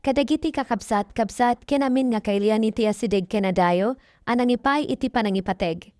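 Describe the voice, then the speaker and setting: A woman's voice: a little high, brisk, darkish tone, no echo, clean studio sound. A woman with an Ilocano accent delivering scripted, dramatic narration as the host of a radio drama. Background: faint music.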